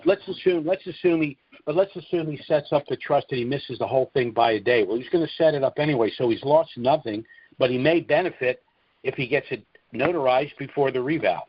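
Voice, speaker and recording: A man hosts a conference call over a dull, lo-fi phone line with little room sound. His voice sits at an ordinary middle pitch, and he advises callers informally, often repeating a phrase to drive it home. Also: fairly quick, breathy edge, no room sound. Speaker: call host and trust seller advising callers. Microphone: telephone conference line.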